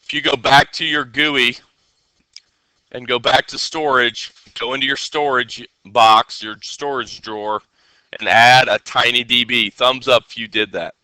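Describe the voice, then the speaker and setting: A male teacher with a very bright, forceful voice, nasal from a head cold yet crisply clear. He explains coding to a class in an instructional way and keeps checking that students follow. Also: medium pitch, fairly even intonation, a little slow, no echo, poor audio. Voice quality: nasal, congested. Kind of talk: live classroom coding lesson.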